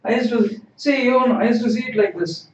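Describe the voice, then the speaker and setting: High-voiced man teaching kanji, roomy lo-fi. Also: fairly quick. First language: not Japanese. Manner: explanatory, repeats words, asks "right?". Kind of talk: livestream kanji lesson. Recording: distant, roomy, dull lo-fi stream audio.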